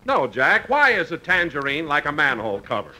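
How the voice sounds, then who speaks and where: A man's voice: a little high, wildly swooping pitch, brisk, sharp tone. A man playing straight as the announcer in a 1950s radio comedy. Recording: vintage radio recording, slight noise.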